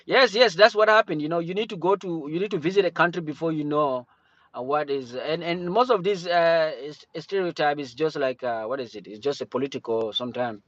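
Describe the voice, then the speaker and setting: Halting Cameroonian-born man, dull lo-fi audio. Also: a little high, fairly bright tone, dry recording. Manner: halting, many restarts and fillers. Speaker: livestream host. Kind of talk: casual livestream chat.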